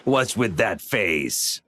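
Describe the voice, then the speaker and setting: A man's voice: medium pitch, noisy background. A man playing a jovial, easygoing knight commander in an anime game, hearty, informal and teasing.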